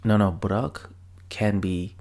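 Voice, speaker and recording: A man's voice: on the low side, fairly even intonation, slow pace, a little mellow. A man doing a rambling, slang-filled reaction commentary on a video. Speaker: reaction vlogger.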